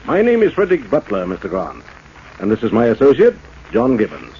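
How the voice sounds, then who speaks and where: A man playing a smooth-talking, menacing businessman villain in a 1940s radio play, formal and insinuating. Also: on the low side, wildly swooping pitch, a little fast. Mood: smooth, businesslike. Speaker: radio drama actor. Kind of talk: 1940s radio drama acting. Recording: dull, noisy old radio broadcast.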